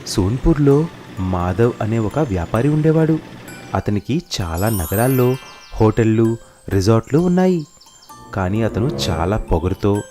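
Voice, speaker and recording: A man narrates a scripted story for children. His voice is mellow, with lively, varied intonation. Faint music sits behind him. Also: mid-range voice.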